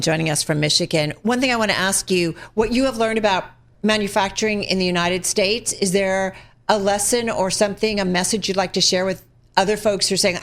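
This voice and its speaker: A woman hosting a broadcast business interview, putting questions in a conversational way and rephrasing as she goes. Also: mid-range voice.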